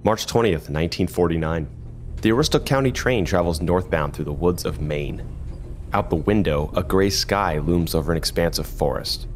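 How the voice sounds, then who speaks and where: Deep male game master narration over music. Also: normal speed. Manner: steady, descriptive. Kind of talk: scripted scene narration.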